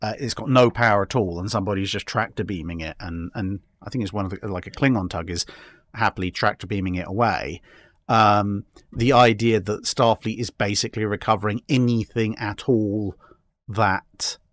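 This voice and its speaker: A man in a casual discussion, explaining his speculative ideas in a halting way with repeated words. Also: on the low side, a bit bright. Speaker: podcast guest.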